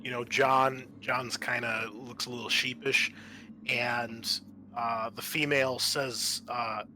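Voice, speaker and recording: A man narrating a tabletop roleplaying game as game master, improvising in a halting way. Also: medium pitch, little pitch movement, pushed and bright, slight background noise. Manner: halting, searching for words.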